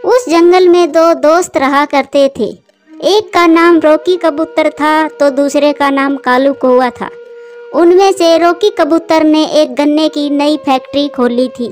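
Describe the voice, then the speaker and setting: A woman's voice: sits high, unhurried, soft background music. A woman narrating a scripted children's animal fable as a storyteller.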